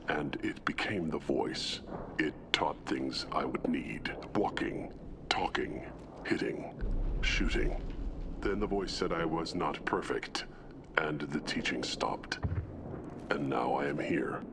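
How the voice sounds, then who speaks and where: An actor playing a solemn, childlike tank-bred alien brute in a video game, with a gravelly growl and halting, simple phrases. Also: male voice, background noise, exaggerated voice. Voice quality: very deep, gravelly, breathy growl. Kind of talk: dramatic video game acting.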